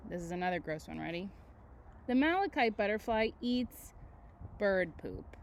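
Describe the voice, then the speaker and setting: A woman teacher reading aloud to children, with expressive delivery and casual, chatty asides that put questions to her listeners. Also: medium pitch, moderate pace, a little hiss or hum. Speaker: elementary school teacher.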